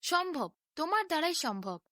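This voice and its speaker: A woman's voice: extremely high pitch, big pitch swings, moderate pace, a bit bright, no room sound, clean studio sound, full character voice. A woman voicing an earnest, pleading king in a storybook fairy tale, solemn and imploring. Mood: urging.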